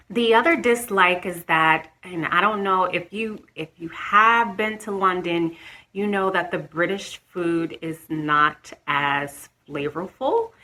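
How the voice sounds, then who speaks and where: Bright-voiced American woman vlogging. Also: on the low side. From United States. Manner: chatty, restarts and ums, light laughs. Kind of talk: casual talk to camera.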